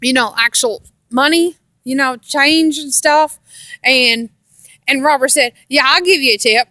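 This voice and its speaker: A woman's voice: high voice, a little slow, bright, forceful tone, no room sound. An American woman telling a casual personal story in a rambling, repetitive way with folksy grammar. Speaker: storytelling vlogger.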